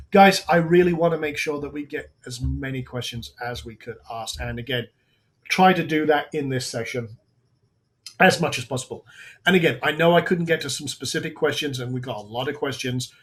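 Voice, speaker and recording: A man hosting a live software webinar, talking casually through on-screen steps with frequent restarts while his voice goes hoarse. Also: mid-range voice. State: voice going hoarse. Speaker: webinar host.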